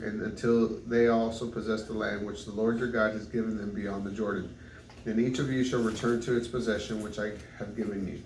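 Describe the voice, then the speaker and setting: A man reads scripture aloud in a flat, even tone, stumbling now and then over hard names and correcting himself. His voice is fairly low and sounds distant in an echoey room. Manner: halting on unfamiliar names, self-correcting. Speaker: Bible reader. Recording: echoey room, distant mic, faint noise.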